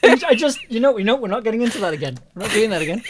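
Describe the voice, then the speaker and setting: A woman's voice: on the high side, animated, rapid-fire, crowd noise. A woman hosting a casual livestream over an online call, often hesitating and repeating herself. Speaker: host.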